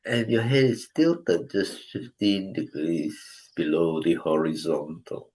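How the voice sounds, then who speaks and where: Slow, low-voiced man guiding a meditation. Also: low-quality recording. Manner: slow, calm instructions with long pauses. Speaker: meditation teacher. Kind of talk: guided breathing meditation.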